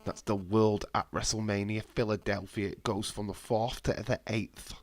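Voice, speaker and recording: A man's voice: lowish voice, flat delivery, moderate pace. A man with a head cold, chatting casually and bluntly on a live stream, swearing freely and answering viewers' messages. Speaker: wrestling watch-along streamer.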